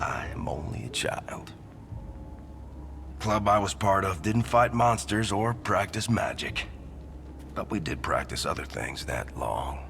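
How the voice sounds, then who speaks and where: Very deep, weathered man, musing over music. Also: creaky, exaggerated voice. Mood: wistful. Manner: folksy, reflective, unhurried storytelling with hushed trailing phrases. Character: weathered, wistful small-island occult investigator, an older man. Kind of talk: video game character monologue. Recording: music playing behind the voice.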